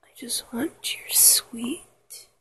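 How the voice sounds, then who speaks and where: A woman whispering an intimate, sensual erotic monologue to the listener in a breathy, drawn-out way. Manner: slow, sensual, drawn-out delivery with soft moans.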